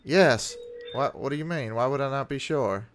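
A man's voice: mid-range voice, moderate pace. A man baiting a phone scammer, talking hesitantly and stammering as he plays a naive caller. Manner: hesitant, stammering, playing dumb. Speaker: scam baiter streamer.

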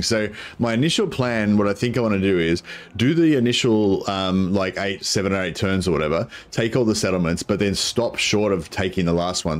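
A man, a croaky voice and a headset, chatting casually while streaming a strategy game, rambling with many ums. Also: lowish voice. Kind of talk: casual gameplay commentary.

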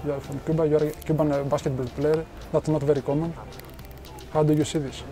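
A man interviewing a basketball player, asking long questions with frequent hesitant pauses. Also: a little high, flat delivery, mellow, soft background music. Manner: hesitant, many filler pauses.

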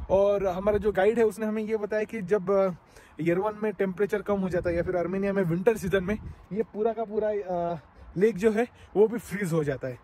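A man in his thirties talks to a phone held at arm's length, his voice a little high and mellow. He chats casually, pausing on fillers as he explains what he sees. Faint background noise sits under the voice. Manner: chatty, explaining sights to viewers, many fillers. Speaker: travel vlogger. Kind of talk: casual travel vlog narration. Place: outdoors by a lake, then an underground cave museum. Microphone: phone camera at arm's length.

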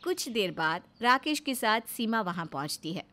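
A woman's voice: medium pitch, very animated, normal speed, sharp tone. A woman narrator reading a scripted family story.

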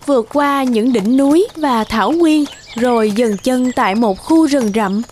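A woman's voice: a little high, measured pace, noisy background. A woman giving scripted narration of a fairy tale as the storyteller. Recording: music behind.

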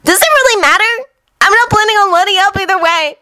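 A woman voicing an excitable, friendly, guileless young ninja boy in a chirpy manner for a story reading. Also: extremely high pitch, quick pace, no echo, studio quality, pitched above the performer's own voice. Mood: mischievous.

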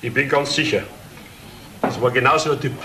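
Radio crime drama: male actor as helpful, chatty witness, mid pitch, echoing noisy room. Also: fairly even intonation, a little fast, natural voice. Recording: roomy, clearly noisy.

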